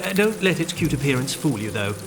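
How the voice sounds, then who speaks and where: A man's voice: medium pitch, sing-song, brisk, a crowd in the background. An actor playing a fussy, nerdy male entomologist in an audio drama, pedantic and eager about his experiments.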